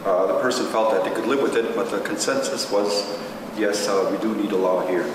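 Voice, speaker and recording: A man makes his points piece by piece at a meeting, in a rather low voice. He sounds far from the mic, with long reverb and noticeable noise. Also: a little fast. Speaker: meeting participant, group spokesman. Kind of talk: reporting and arguing at a meeting. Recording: distant, very echoey, noisy room.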